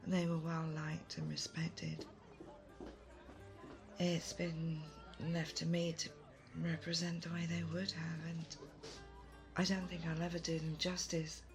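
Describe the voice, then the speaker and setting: A woman playing a thoughtful, kind, self-doubting leader in an audio roleplay, soft-spoken, hesitant and gently earnest. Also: sits low, completely flat delivery, measured pace, pauses often, over music. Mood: wistful, sincere. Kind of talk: immersive audio roleplay addressed to the listener.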